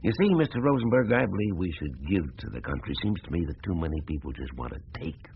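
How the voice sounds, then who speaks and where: Folksy older American businessman, radio drama. Deep, husky, lively swings, proud and plain-spoken. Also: fast, highs cut off, a little hiss or hum. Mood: proud, nostalgic. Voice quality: gravelly, husky.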